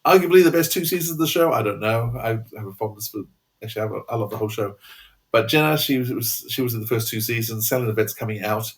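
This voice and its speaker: Man, Australian accent, medium pitch, podcast co-host, chatty, enthusiastic, rambling asides. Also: quick pace. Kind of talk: casual podcast chat.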